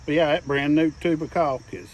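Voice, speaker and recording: A man's voice: fairly high pitch, lively intonation, fairly quick. A plain-spoken man chatting casually while working on a building project outdoors.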